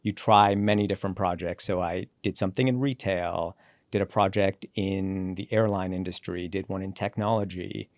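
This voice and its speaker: A man in his forties, a company CEO born in Sri Lanka and raised in Canada, telling his career story in a relaxed podcast interview and acting out the dialogue he quotes. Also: sits low, dry recording. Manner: reflective, brisk storyteller who acts out quoted dialogue.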